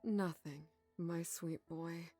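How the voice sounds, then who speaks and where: A woman playing a gentle, protective middle-aged mother in an audio drama, with music behind her. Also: on the low side, fairly even intonation, slow. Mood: tender.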